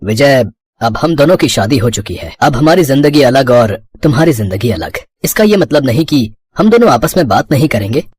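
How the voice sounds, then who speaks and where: A man's voice: medium pitch, sing-song, normal speed, darkish tone, slightly rough, no room sound. A man voicing a compliant, self-interested elder brother in a cartoon village story, matter-of-fact in manner. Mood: detached, firm.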